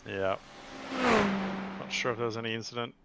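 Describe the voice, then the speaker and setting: A man's voice: mid-range voice, level tone, brisk, pushed and bright. A man giving casual live sim racing commentary as a co-commentator, musing and somewhat hesitant. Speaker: motorsport stream co-commentator. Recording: clear background noise on stream.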